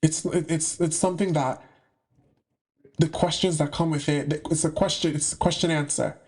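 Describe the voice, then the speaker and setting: Chatty young man, high-pitched voice. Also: fairly even intonation, moderate pace, a little mellow, breathy edge. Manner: chatty and slangy, with playful asides. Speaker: spiritual content creator. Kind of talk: casual monologue to camera.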